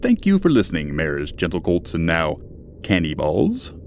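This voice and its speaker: A man's voice: low-pitched, huge pitch swings, normal speed, full character voice. A man playing a smug, cheerfully sinister pony news anchor with a grandiose announcer delivery in a mock broadcast comedy. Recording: clear background ambience noise.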